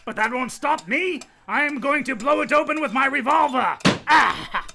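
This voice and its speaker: A man's voice: very high-pitched, expressive, normal speed, gravelly, pushed and bright, full character voice. A man playing a gleefully deranged, vengeful poisoner in a radio play, gloating and ranting theatrically. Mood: gloating, menacing.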